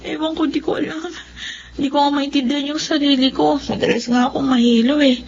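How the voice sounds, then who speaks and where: Emotional young Filipina, muffled noisy recording. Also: female voice, sits high, level tone, a little fast, gravelly, darkish tone. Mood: evasive, uneasy. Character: Emmy, hardworking, distressed young Filipina migrant worker. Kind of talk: Tagalog radio drama acting. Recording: dull lo-fi track with background noise.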